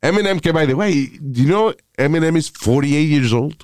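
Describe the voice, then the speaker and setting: Male talk host, crude and rambling, lively pitch, dry close sound. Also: moderate pace, no room sound. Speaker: show host. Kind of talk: casual studio banter.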